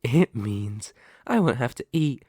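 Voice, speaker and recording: Guy voicing a sadistic male lamia monster for asmr roleplay, slow gloating menace, middle pitch, really dry sound. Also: lively intonation, mellow, husky, no room sound. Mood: sinister, gloating. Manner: taunting, menacing, slow deliberate delivery with gloating lilts. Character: sadistic, predatory male lamia, half-snake monster toying with prey. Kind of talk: ASMR horror roleplay acting.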